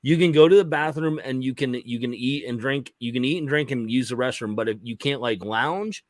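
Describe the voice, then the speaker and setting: A man's voice: mid-range voice, fairly quick, no echo. A man in casual conversation who repeats his points for emphasis. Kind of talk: casual chat.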